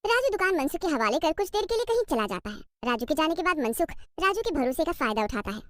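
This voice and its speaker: A woman's voice: high voice, big pitch swings, fast talker. A woman reading scripted narration for a cartoon story.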